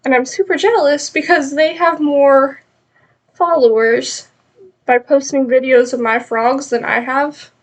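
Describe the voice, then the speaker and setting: A woman's voice: high voice, fairly even intonation, measured pace. A woman with a stuffed-up nose chatting casually and rambling on a live knitting stream, with many ums.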